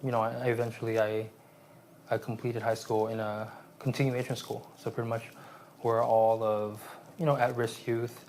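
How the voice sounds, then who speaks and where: A man in his late twenties from Vietnam, raised in San Jose, speaking as an interview guest in a halting, reflective way with many restarts. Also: medium pitch, little pitch movement, darkish tone, slight background noise. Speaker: guest, aspiring therapist.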